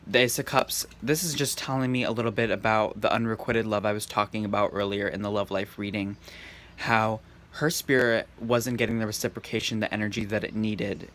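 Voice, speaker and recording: Monotone, serene man reading tarot. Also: lowish voice. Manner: serene and calm. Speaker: tarot reader. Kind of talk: casual intuitive tarot reading. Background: faint background noise.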